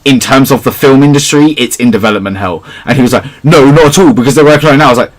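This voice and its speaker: A man's voice: mid-range voice, rapid-fire, faint music behind, low-quality recording. Guy hosting a podcast, chatting casually like a big fan, ordinary mid-range voice. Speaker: podcast host. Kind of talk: casual pop culture chat.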